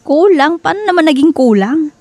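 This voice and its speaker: A woman acting a teasing, frank young best friend in a radio drama, playful and expressive, with a Filipino accent. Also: sits high, normal speed, dry recording. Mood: concerned, prodding.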